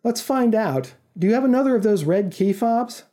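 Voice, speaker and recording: Man voicing mysterious woman, playful, assured, quiet menace, story reading, high for a man, very mellow. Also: animated, moderate pace. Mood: teasing. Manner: teasing, assured, controlling.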